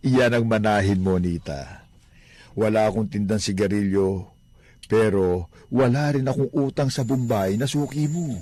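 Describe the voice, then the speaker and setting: A man's voice: on the low side, unhurried, pauses often, a little mellow, no room sound. An actor playing a devout, kindly Filipino father who runs a small store, earnest and fatherly, in a radio drama. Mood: friendly, firm. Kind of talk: radio drama acting in Tagalog. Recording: noisy radio broadcast playback.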